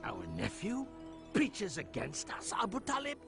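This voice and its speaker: A menacing, imperious animated villain. His voice is extremely gravelly and swings widely in pitch. Faint music sits behind it. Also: a little slow, full character voice. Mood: accusing. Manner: threatening, imperious. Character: stern, menacing idol-worshipping master, villain.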